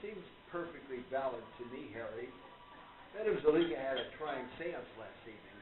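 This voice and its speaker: A man playing a polite, measured gentleman who gently reproves others in a radio play. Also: medium pitch, expressive, natural voice. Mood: mild, defensive. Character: gentlemanly believer in spiritualism, courteous, gently reproving. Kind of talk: stage radio play acting. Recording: tinny, echoey, distant with audience noise.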